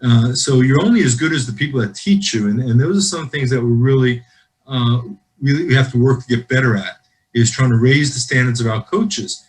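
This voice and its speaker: A man talking over a video call about coaching needs, in an earnest, rambling way. His voice sits at a plain middle pitch, and he often restarts his sentences. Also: fairly quick. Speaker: football league official.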